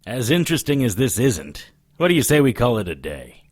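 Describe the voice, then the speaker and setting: A man's voice: medium pitch, very animated, brisk, a little mellow. A man playing a world-weary, wisecracking hard-boiled investigator in an audio drama, dry and sarcastic. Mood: dry, impatient.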